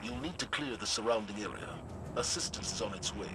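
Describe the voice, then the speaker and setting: A man's voice: lowish voice, expressive, very noisy, lightly acted. Man playing a grave, resolute military commander. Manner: measured, authoritative, grave. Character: stern, resolute male military commander rallying his troops. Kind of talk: video game voice acting, solemn military briefing.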